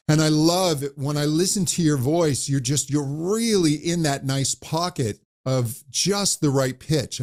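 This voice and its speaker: A man who coaches voices, talking animatedly in an interview and demonstrating high and low pitch as he explains. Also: a little mellow. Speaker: voice coach guest. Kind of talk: conversational interview.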